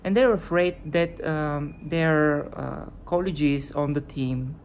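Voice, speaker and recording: A low-pitched woman speaking English with a Bulgarian accent, not her first language. She talks to her viewers in a slow, unhurried vlog style and stops often to hunt for the right word. A faint hiss sits under her voice. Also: darkish tone. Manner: hesitant, searching for words. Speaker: YouTuber. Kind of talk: casual vlog commentary.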